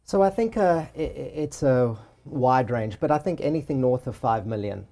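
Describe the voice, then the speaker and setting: South African-accented man, mellow tone. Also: medium pitch, animated, normal speed. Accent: South African with a Boston tinge. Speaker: luxury real estate agent. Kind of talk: interview conversation.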